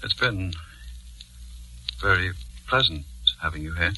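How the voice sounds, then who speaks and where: Deep-voiced guilty husband, old radio. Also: male voice, expressive, a little slow, sharp tone, slight background noise, full character voice, poor audio. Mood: hesitant, yearning. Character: guilt-ridden husband drawn to his wife's nurse, narrating his confession. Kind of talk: 1940s radio drama acting and narration. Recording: vintage 1940s radio recording, music bed.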